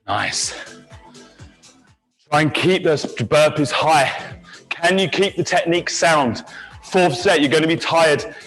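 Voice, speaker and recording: Male fitness coach, fairly high voice, encouraging, short punchy cues and countdowns, faint music behind. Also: animated, slow pace, long pauses, fairly bright tone. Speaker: fitness instructor. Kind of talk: leading a live HIIT workout class.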